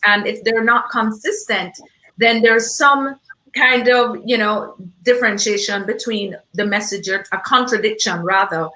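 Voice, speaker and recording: A woman's voice: mid-range voice, bright, forceful tone, rough recording. A woman from Jamaica with a Jamaican accent, hosting an event and talking off the cuff in an effusive, emphatic way. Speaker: event host. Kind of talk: unscripted panel discussion talk.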